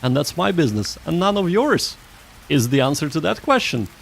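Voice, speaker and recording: A man hosting a livestream, chatting casually with viewers in a halting, rambling way that often restarts sentences. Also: on the high side, sing-song, gravelly, slightly rough. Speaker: livestream host.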